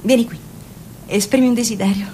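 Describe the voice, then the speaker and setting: A woman's voice: on the high side, fairly even intonation, fairly quick, warm tone, a little hiss or hum, natural voice. An actress playing a fussy, doting mother who flutters and stammers. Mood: tender. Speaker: radio drama actor. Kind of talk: radio play acting.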